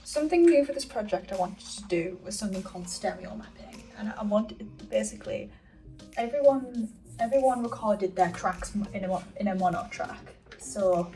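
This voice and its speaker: A woman's voice: medium pitch, warm tone, far from the mic, faint music behind, low-quality recording. A woman talking in a casual, chatty vlog style as host, rambling and often interrupting herself. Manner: rambling, halting, self-interrupting. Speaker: video creator and host. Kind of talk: casual chatty vlog about audio production.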